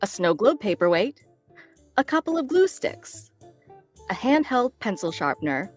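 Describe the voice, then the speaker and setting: A woman's voice: on the high side, very animated, a little slow, faint music behind. A woman narrating stage directions in a scripted table read.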